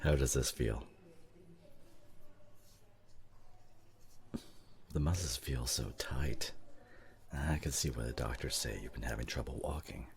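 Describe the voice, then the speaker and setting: A man's voice: rumbling low, normal speed. A man acting a devoted, worried husband in an emotional ASMR drama, speaking intimately and breathily, often half-whispering. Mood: quiet concern. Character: devoted, worried husband comforting his injured wife.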